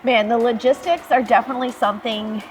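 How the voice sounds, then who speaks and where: A woman's voice: fairly high pitch, fairly even intonation, quick pace, faint music behind. A woman from the United States chatting enthusiastically in a travel vlog, laughing easily, recorded outdoors at a harbor. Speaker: vlogger. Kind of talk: casual travel vlog talk.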